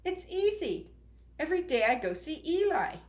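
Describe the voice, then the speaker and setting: A woman's voice: high voice, sing-song, normal speed, voice pushed up. A woman voicing a carefree, self-assured female wooden puppet in a storybook, upbeat and matter-of-fact. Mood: breezy.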